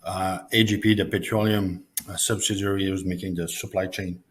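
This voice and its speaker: Male finance executive, low flat voice, hesitant, earnings call presentation. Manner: halting, hesitant delivery. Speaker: chief financial officer presenting.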